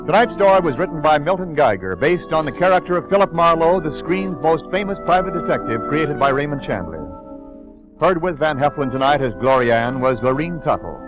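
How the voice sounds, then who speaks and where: A man's voice: a little high, lively intonation, brisk. Formal 1940s radio announcer man. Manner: formal, crisp announcer delivery. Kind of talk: scripted broadcast announcement. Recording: lo-fi 1940s radio broadcast with music. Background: music.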